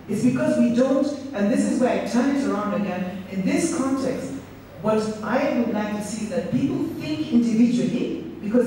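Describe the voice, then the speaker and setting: A woman's voice: mid-range voice, dark tone. A middle-aged woman from Kenya giving a public speech, earnest and reflective, often restarting her words. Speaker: speaker. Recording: echoey hall, speaker far from mic, faint noise.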